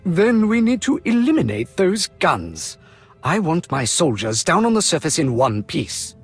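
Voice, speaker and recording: An actor playing a stern, impatient military colonel in a video game, clipped and commanding. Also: male voice, a little high, huge pitch swings, a little slow, slightly rough, faint music behind, exaggerated voice. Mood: brisk, stern.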